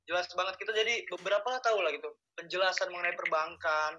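Young man, podcast host, upbeat polite manner, quick talk, high bright voice, video call audio. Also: fairly even intonation, muffled recording. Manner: upbeat, polite, filler-heavy. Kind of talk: casual podcast hosting.

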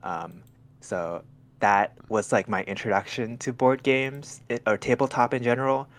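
A man's voice: medium pitch, fairly even intonation, normal speed. A young man from Thailand, a podcast guest in a casual chat over a call, talking hesitantly with many restarts and fillers.

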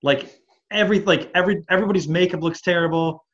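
A man's voice: high voice, quick pace, lo-fi sound. A man with a Midwestern Michigan accent and a slightly nasal voice, chatting casually as an interview guest and rambling through stories with many fillers. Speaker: band singer and guitarist, guest.

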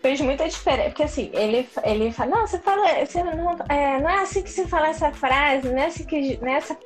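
A woman from Brazil chatting as an interview guest, animated and laughing, with many restarts. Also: high voice, fast, soft background music. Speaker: interview guest, English learner. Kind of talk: casual live interview chat.

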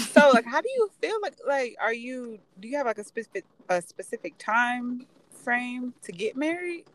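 A woman's voice: on the high side, big pitch swings, moderate pace, a bit bright, poor audio. A woman in her thirties co-hosts an easygoing podcast chat. She talks in a loose, rambling way, circling back on herself as she goes. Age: 30s. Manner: loose, rambling, restarts lines. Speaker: podcast co-host. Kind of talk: casual chat.

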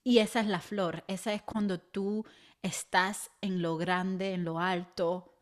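A woman's voice: medium pitch, fairly even intonation, takes it slowly. A young adult woman co-hosting a casual podcast chat, earnest and reflective, with light laughs. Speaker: podcast co-host.

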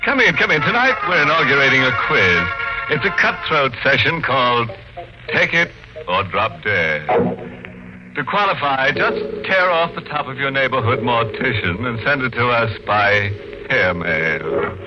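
Casting: morbidly gleeful male host of a 1940s radio chiller, slow, theatrical, wildly varied intonation. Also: mid-range voice, big pitch swings. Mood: gleefully morbid. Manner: theatrical, punning, mock-genial. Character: ghoulish, gleefully morbid, mock-genial male horror host. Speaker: horror radio show host. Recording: dull old radio recording, music behind.

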